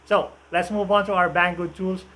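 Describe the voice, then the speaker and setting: A man's voice: sits high, flat delivery, normal speed, bright, forceful tone. A man in his thirties with a Filipino accent, hosting a casual tool review vlog in his home workshop, chatty with many filler uhs. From Philippines. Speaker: woodworking channel host. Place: home woodworking workshop, indoors. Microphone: camera on tripod, medium close.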